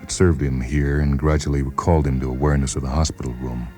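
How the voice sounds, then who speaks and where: A man narrates literary fiction in an extremely deep, mellow voice. His reading is measured and expressive, dramatic in style, with faint music behind it. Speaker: narrator, short story reader.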